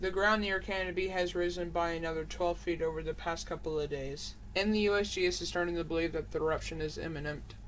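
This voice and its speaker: A man's voice: on the high side, steady tone, fairly quick, hardly any pauses, fairly bright tone, a little hiss or hum, close to an everyday voice, poor audio. A man playing a breaking-news anchor, reading a scripted disaster report in a formal, serious manner.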